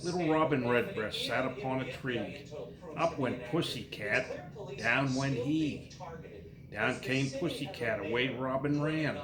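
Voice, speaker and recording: A man reading nursery rhymes aloud to his child in a sing-song, unhurried way, pausing and repeating lines. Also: medium pitch, reverberant, crowd noise.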